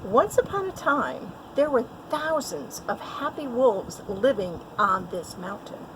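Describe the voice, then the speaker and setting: A woman voicing a silly, quick-witted male goose in a children's story, exclaiming as he spins a tall tale. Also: on the high side, very animated, noisy background. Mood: cunning.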